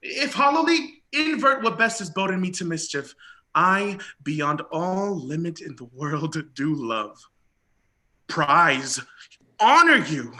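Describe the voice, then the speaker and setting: A man acts an ardent young prince in a Shakespearean romance. The voice is high for a man and very bright, with lively swings of pitch. The delivery is theatrical, courtly and effusive, full of devotion. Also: slow, full character voice. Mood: ardent, earnest. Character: lovestruck young prince toiling as log-bearer, ardent, courtly. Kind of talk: Shakespearean stage acting.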